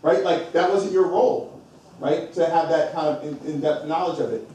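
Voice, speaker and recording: A man's voice: a little high, normal speed, dark tone. A man moderates a panel in a large hall, and his voice arrives from far off with heavy echo. He talks in an earnest, emphatic way and keeps nudging the speakers along. Faint room noise sits under him. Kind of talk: live panel discussion. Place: event hall with audience. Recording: echoey hall from far off, noisy.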